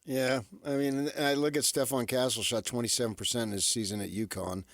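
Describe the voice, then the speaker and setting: A man with a medium-pitched voice co-hosts a sports radio show. He debates conversationally, and the sound is dry and close. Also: steady tone, a little fast, dry recording.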